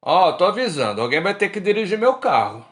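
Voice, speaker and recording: A man plays a middle-aged Brazilian drinker out with old friends. His voice is somewhat high for a man and moves up and down a lot. He is frank and colloquial, in radio drama style. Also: very animated, brisk. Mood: teasing, tipsy. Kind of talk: radio drama acting, casual bar chat.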